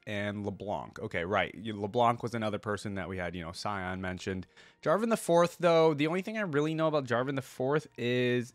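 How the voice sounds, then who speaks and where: A man's voice: medium pitch, dry recording. Dry, close-miked man doing reaction commentary. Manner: conversational, interjects his own reactions while reading. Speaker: YouTube reaction host. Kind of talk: casual reaction commentary mixed with reading lore aloud.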